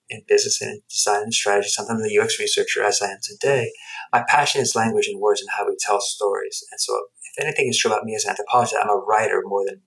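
A man's voice: on the low side, a bit bright, rough recording. A middle-aged man telling personal stories as an interview guest, reflective and emphatic, often rephrasing himself. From United States and Guatemala. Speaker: interview guest, anthropologist and researcher.

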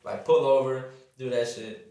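A young man from Southern California chats casually on his podcast, talking fast and stumbling through a stutter. He sits back from the microphone, and the room rings with a heavy echo. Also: medium pitch, steady tone, dark tone. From Inland Empire, Southern California. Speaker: podcast host. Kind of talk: casual chat. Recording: echoey room, far from mic.

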